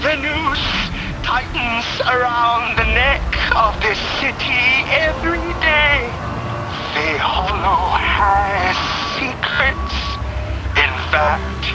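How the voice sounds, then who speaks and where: An actor playing a fiery doomsaying orator, declaiming forebodingly in a dramatic audio drama over loud music. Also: medium pitch, expressive, extremely slow, low-quality recording. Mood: fervent, foreboding. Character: fiery doomsaying preacher of a troubled city.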